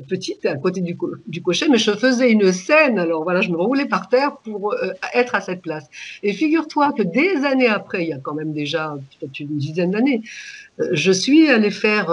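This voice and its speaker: A woman in her sixties from Egypt, whose first language is Arabic, calmly recalling her childhood as a radio guest, pausing and restarting often. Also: medium pitch, slightly rough. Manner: hesitant, many pauses and restarts. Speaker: guest, author. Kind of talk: reflective personal storytelling.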